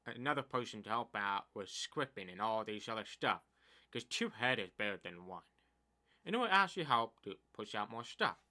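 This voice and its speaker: Deaf young man venting about games. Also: medium pitch. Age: 20s. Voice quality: deaf speaker's speech. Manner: complaining, rambling, uneven loudness. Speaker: gaming YouTuber. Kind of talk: casual commentary vlog.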